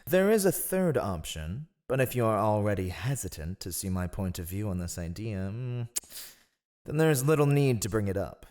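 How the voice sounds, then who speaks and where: A man's voice: on the low side, very animated, normal speed, darkish tone. A man acting a cunning, ruthless royal spymaster in a fantasy audio roleplay, with a sly, mocking, theatrically deferential manner. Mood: coy, calculating.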